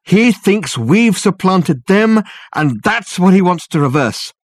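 A man reading a story, voicing an eccentric, excitable detective who declaims emphatically. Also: medium pitch, brisk, husky, no room sound, studio quality, voice pushed up. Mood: excited.

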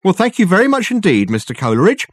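A man voicing a flamboyant, wordy gentleman who talks grandly and at length. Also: high-pitched, huge pitch swings, quick pace, no echo, clean studio sound, pitched above the performer's own voice, heavily acted. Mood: effusive. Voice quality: pompous, wordy, effusive. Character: flamboyant verbose man, pompous and triumphant.

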